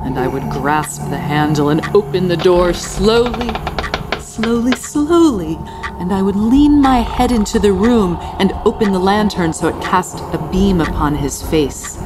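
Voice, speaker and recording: An actress playing an obsessively tidy, murderous housekeeper, a genteel lady narrating a Gothic radio play in a theatrical, deliberate way. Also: medium pitch, expressive, music in the background, lightly acted. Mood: sly, controlled. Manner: theatrical, deliberate, repeating words for emphasis.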